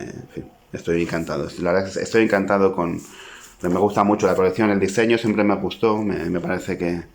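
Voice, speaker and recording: A man in his forties from Spain with a very low, deep voice and a Spanish accent. He speaks as an author talking about his own book, reflective and modest, thinking aloud as he goes. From Spain (Zaragoza). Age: 40s. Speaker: poet and author. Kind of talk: informal talk to camera about his book.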